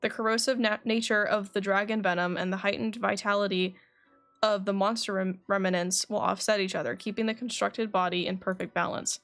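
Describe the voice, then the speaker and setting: A woman's voice: mid-range voice, fairly even intonation, fairly quick, a bit bright, soft background music. A woman livestreaming a game, chatting informally and reading game dialogue aloud. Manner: chatty, informal, says "like" often.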